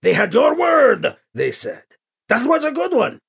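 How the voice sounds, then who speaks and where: A man's voice: very high voice, sing-song, measured pace, gravelly, fairly bright tone, slightly rough, pitched above the performer's own voice, full character voice. A man voicing a brusque, blustery male military commander in an audiobook, with a barking, mocking delivery. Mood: breezy, dismissive. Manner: barking, clipped. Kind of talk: audiobook character acting.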